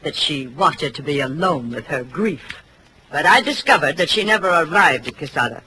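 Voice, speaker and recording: Sharp, cold, haughty society widow. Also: female voice, low-pitched, lively intonation, normal speed, rough recording. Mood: cold, formal. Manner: imperious, clipped, formal. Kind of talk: 1940s radio drama acting. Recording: vintage radio recording, faint noise.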